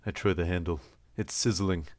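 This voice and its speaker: Male actor as world-weary noir detective, very low voice, terse wry narration for horror audio drama. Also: steady tone, moderate pace, no room sound, clean studio sound, lightly acted. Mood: grim, tense. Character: hard-boiled, world-weary male detective narrating his own nightmare.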